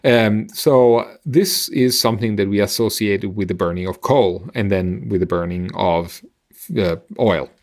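A middle-aged man co-hosting a podcast, explaining science conversationally in a halting, teacherly way. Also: on the low side, a little mellow. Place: indoor room on a video call. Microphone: headset and microphone close up.

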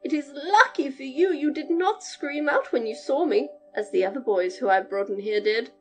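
A woman voicing a loud, bossy giantess who is lonely and overworked, in a storybook reading. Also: high voice, normal speed, soft background music, natural voice. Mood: coaxing. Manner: loud, domineering.

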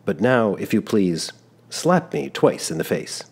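A man's voice: fairly low pitch, sing-song, unhurried, vocal fry, heavily acted. A man doing an overly polite smiling constable. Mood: courteous. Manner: polite, gently insistent. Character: smiling, exceedingly courteous male town constable.

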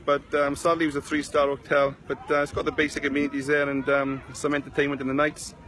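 A man's voice: a little high, monotone, quick pace, fairly bright tone, slight background noise. A man in his forties with a South Wales valleys accent, chatting casually to viewers on a live video from a sunny beach, talking into a phone held at arm's length. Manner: rambling, chatty, greets viewers by name. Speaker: online marketing vlogger. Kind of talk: casual Facebook live chat.

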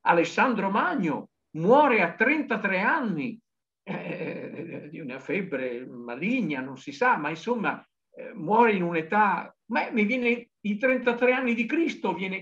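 Older man, impassioned and declamatory, very animated intonation, dull video-call sound. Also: high voice, measured pace. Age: older, 60s to 70s. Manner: dramatic, declamatory, emphatic. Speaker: novelist guest. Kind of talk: impassioned spoken reflection in an interview. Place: book-lined study indoors, on a video call. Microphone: computer video-call microphone.

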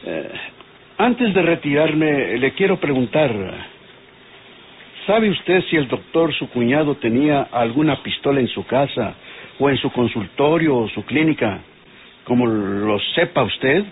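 Actor as courteous inspector, tinny old radio. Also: male voice, mid-range voice, animated, unhurried, a little hiss or hum, lightly acted. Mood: probing, courteous. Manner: polite, methodical, questioning. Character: courteous, probing male police inspector. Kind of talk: old Spanish-language radionovela acting.

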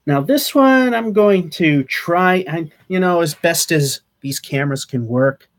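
A man's voice: a little high, lively intonation, a little slow. A man in his thirties giving a casual, rambling vlog update from a small attic room, recorded on a webcam at desk distance. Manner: rambling, chatty, with fillers and restarts. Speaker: channel host. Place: small attic room with sloped wood-paneled ceiling.

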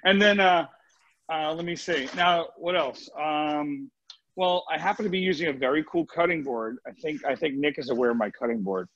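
A man giving a casual cooking demo as a chef over a video call, talking informally and haltingly as he thinks aloud. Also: fairly high pitch, fairly bright tone, no echo. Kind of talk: casual live cooking demo, question and answer. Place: home kitchen on a live video call. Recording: muffled dry online video-call sound.

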